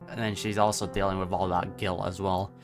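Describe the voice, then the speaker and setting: Guy w really deep voice doing chill game reaction commentary, sympathetic, faint music behind. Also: little pitch movement, a little fast. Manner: conversational, supportive, sympathetic. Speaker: gaming reaction streamer.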